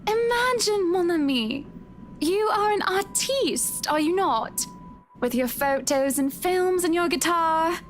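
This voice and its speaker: High-voiced, articulate wife. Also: female voice, lively intonation, slow, slight background noise, heavily acted. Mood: playful teasing. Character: upset, outspoken married woman with sophisticated air. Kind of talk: visual novel game acting. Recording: music behind the voice. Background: music.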